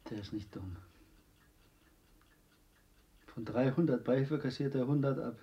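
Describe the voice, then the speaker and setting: An actor in a German radio drama playing a stubborn, weary out-of-work performer who speaks tersely and insistently. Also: male voice, mid-range voice, fairly quick, dull audio, close to an everyday voice.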